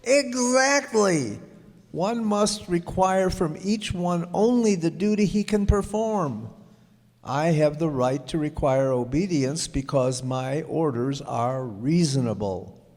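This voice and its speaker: Man acting slow, pompous, bumbling king, echoey hall. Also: on the high side, a little mellow. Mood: imperious. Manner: regal, pompous, hemming and hawing. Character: lonely self-important king, bumbling but kindly. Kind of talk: radio play acting. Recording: echoey hall, long reverb.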